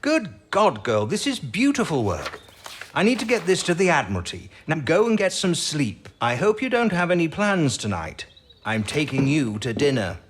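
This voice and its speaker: An actor playing a stubborn, indignant senior codebreaker in a wartime drama, blunt and impassioned in manner. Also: male voice, a little high, big pitch swings, normal speed, slight background noise. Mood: delighted. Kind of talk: period audio drama acting.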